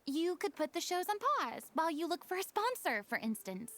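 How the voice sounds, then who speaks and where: A woman's voice: very high voice, big pitch swings, brisk, fairly bright tone, faint music behind, exaggerated voice. A woman playing a proud yet polite young former stage idol in a video game dub, with theatrical, refined, careful phrasing. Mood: earnest, encouraging.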